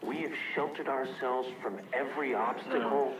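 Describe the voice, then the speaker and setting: A man's voice: mid-range voice, steady tone. A man playing a fervent militant cult leader in a video game, giving a declamatory propaganda speech over a broadcast. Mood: fervent, commanding. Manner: declamatory, measured lists. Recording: echoing broadcast speech under loud noise.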